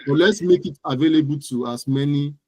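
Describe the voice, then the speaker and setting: A man's voice: fairly high pitch, a little fast, mellow, no echo. A man with a Nigerian accent speaking as an expert guest in a conversational interview.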